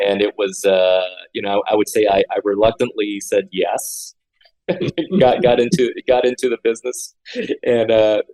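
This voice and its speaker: A man's voice: lowish voice, fairly even intonation, a little slow, darkish tone, rough recording. A man in his forties, a guest architect, talking earnestly in an interview and often repeating words with many fillers. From Honolulu, Hawaii. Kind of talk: conversational interview.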